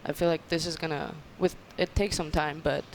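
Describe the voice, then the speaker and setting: Young woman, gravelly. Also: low voice, fairly quick, a little hiss or hum, low-quality recording. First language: Albanian. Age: young adult. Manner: relaxed, plainspoken, hesitant fillers. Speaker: college athlete guest. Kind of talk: casual interview answers. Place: restaurant. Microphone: headset.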